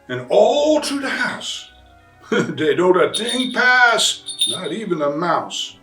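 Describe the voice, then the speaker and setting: Man putting on an animated, folksy storyteller. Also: a little high, heavily acted. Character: excitable Cajun bayou papa telling a Christmas tale. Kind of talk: rhyming storybook reading. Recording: roomy, distant mic, faint music.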